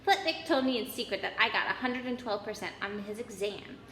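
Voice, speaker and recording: A woman reading the part of a clever, bossy but caring schoolgirl in a storybook, with a brisk manner. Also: mid-range voice, fairly bright tone, close to an everyday voice. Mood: brisk, confident. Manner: brisk, self-assured. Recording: echoey hall, background noise.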